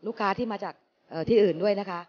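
Measured middle-aged businesswoman interviewee. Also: medium pitch, muffled recording. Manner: measured, with frequent hesitation fillers. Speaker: company managing director. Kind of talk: on-camera interview. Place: inside a supermarket. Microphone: handheld mic.

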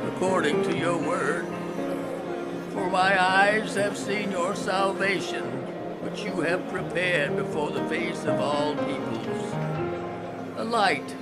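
An aged, devout prophet in a Bible radio play. He declaims reverently and very unhurriedly, in a fairly high male voice, with music clearly behind him. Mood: reverent praise. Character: devout aged Simeon, solemn, awed prophet of the temple. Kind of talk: Bible audio drama acting.

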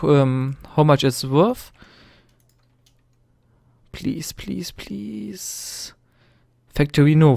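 A man's voice: on the high side, gravelly, no echo, studio quality. Nervous young man with a German accent. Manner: nervous, hesitant, many fillers and restarts. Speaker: gaming tutorial host. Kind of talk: screen-recorded tutorial narration.

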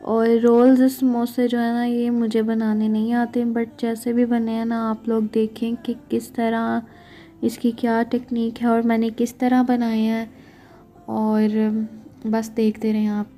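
A woman's voice: fairly high pitch, completely flat delivery, unhurried, mellow. Chatty woman walking through a recipe. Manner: chatty, keeps saying "theek hai" to check in with viewers. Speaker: cooking vlogger. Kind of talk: casual cooking narration.

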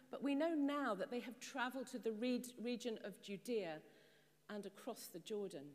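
Middle-aged woman preaching in an echoing church. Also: fairly high pitch. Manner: measured, explanatory preaching with rhetorical questions. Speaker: priest. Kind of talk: sermon. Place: stone church, at a pulpit. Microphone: far camera. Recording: long church reverb.

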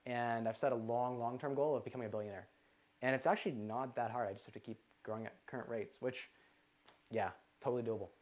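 A man in his twenties hosting a live investing question-and-answer stream from a living room, energetic and gesturing, with lots of "like". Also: mid-range voice, fast. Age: 20s. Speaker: livestream host. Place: living room with a couch, indoors.

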